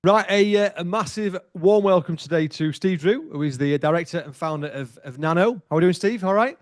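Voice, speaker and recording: A man's voice: high voice, fairly quick, dry recording. Chatty forty-year-old man, dry sound. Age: 40s. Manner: chatty, loose with many restarts and fillers. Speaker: podcast host. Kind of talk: casual interview chat.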